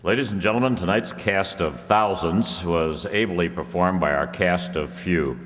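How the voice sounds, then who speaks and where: A man playing a vintage radio announcer, reading scripted copy in a measured, formal manner. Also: low-pitched, a bit bright. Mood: formal, genial. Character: smooth 1930s radio announcer selling soap. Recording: echoey hall, noisy audience room.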